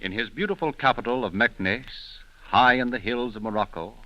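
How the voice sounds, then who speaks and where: A man's voice: mid-range voice, normal speed. A man narrating a 1930s radio programme in an emphatic, dramatic, scripted announcer style, on an old recording. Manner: declamatory, emphatic. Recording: dull 1930s radio recording, music behind.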